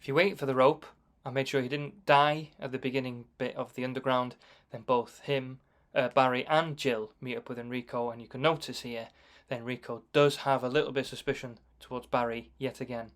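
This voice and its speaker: A man with a mid-pitched voice narrates as a game commentator. He explains things casually, in a conversational voice-over. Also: flat delivery, fairly quick, soft background music.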